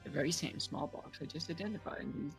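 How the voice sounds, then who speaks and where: A creaky, gravelly voice at middle pitch reads out courtroom dialogue in a group game playthrough. Game music plays clearly behind the speech. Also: fast talker. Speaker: player voicing a defense lawyer in a fan dub.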